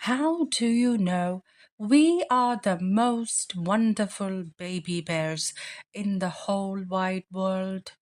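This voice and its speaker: A woman's voice: medium pitch, slow, darkish tone, close to an everyday voice. A woman voicing a small, anxious, wistful baby bear in a children's storybook read-aloud. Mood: curious.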